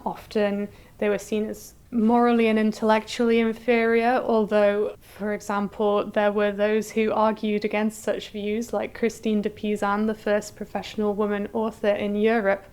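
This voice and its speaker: A woman's voice: mid-range voice, completely flat delivery, normal speed, hardly any pauses, darkish tone, smooth. A woman curator speaking as an interview guest in an articulate, explanatory way.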